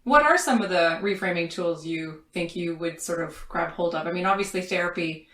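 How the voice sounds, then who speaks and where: Woman podcast host. Lowish pitch, reflective, encouraging, conversational, roomy echo on the recording. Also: normal speed, a bit bright. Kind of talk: conversational interviewing. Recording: echoey room sound.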